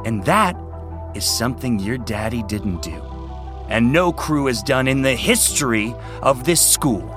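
A man's voice: mid-range voice, animated, a little slow, over music, natural voice. A man playing a brash, contemptuous young college rowing captain in an audio drama, barking and taunting with a commanding manner. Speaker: team captain.

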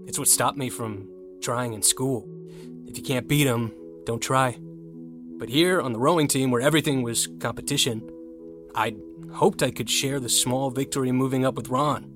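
A man playing an earnest young American college rower in an audio drama, full of self-doubt. His voice sits at a middling pitch, with music clearly audible behind it. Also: moderate pace, close to an everyday voice. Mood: reflective. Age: young adult. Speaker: narrator and lead character. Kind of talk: scripted audio drama, first-person narration and dialogue.

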